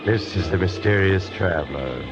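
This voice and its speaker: An actor playing an urbane, sinister traveler who hosts a 1940s radio horror series with wry, insinuating narration. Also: male voice, deep voice, normal speed, loud music behind the voice, close to an everyday voice. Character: sinister, urbane male train traveler telling macabre tales. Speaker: host narrator of a mystery anthology. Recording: dull vintage radio recording.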